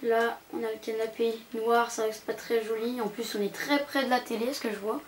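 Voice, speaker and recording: A woman's voice: fairly high pitch, flat delivery, fairly quick. A young woman giving a casual, rambling, hesitant commentary as she tours a video game. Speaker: gaming channel host. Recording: amateur home recording with background noise.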